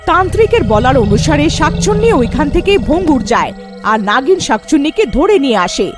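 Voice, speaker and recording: A woman narrator delivers a scripted story. Her voice is high and animated in pitch, with faint music behind it. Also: normal speed.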